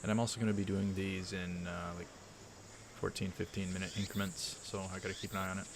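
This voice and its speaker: A man with a deep, low voice reads a visual novel aloud for a let's play. He moves between measured narration and halting casual asides. The home recording carries clear background noise. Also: little pitch movement.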